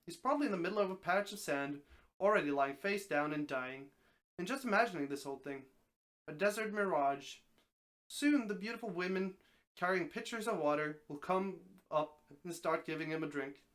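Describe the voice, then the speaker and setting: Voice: medium pitch, moderate pace, fairly bright tone. A person giving a live dramatic reading of a story, narrating expressively with the occasional stumble and repeated phrase. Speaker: streamer reading a story aloud.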